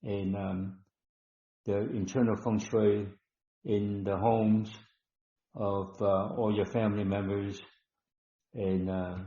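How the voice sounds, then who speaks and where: A low-pitched man leads a live healing webinar, talking slowly and instructively with frequent ums and repeated phrases. The sound is dull and roomy, like a muffled online call. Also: little pitch movement, mellow. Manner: slow, instructive, repeats words, many ums. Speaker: webinar host and healer. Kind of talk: live stream healing session.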